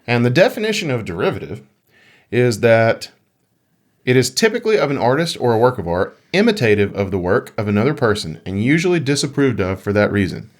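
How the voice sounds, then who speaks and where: A man's voice: mid-range voice, sing-song, brisk. Playful man co-hosting a casual podcast. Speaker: podcast co-host. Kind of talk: casual conversational podcast chat about gaming.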